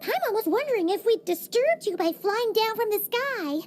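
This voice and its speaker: A tiny floating fairy companion in a game cutscene, with a very high, squeaky voice that leaps up and down. She is blunt and teasing and refers to herself by name. A faint orchestral score runs behind her. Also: lively intonation, over music. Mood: chatty, teasing. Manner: talks about herself in third person, quick to tease.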